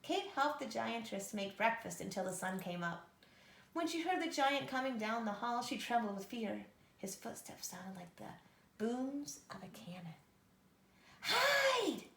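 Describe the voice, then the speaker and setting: Expressive woman storyteller in an echoey room. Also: fairly high pitch, normal speed, gravelly. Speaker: storybook reader. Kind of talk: expressive children's story narration.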